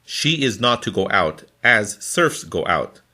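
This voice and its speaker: A man reading scripture from a formal translation in a deliberate, phrase-by-phrase manner. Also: lowish voice, sing-song, bright, forceful tone. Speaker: scripture reader.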